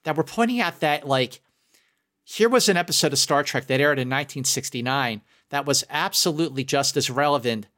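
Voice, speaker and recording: A middle-aged American man in a casual discussion, making emphatic points in a halting way with repeated phrases. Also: a little high, a bit bright. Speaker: film critic, podcast panelist.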